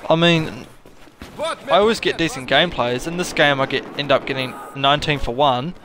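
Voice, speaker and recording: Young male gaming vlogger, croaky with a cold, rambling and self-correcting, gunfire loud behind him. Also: on the high side, moderate pace, noisy background. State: croaky from a cold and sore throat. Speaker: gaming commentator. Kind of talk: casual gameplay commentary. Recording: voice over loud game gunfire. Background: gunfire and artillery from the game.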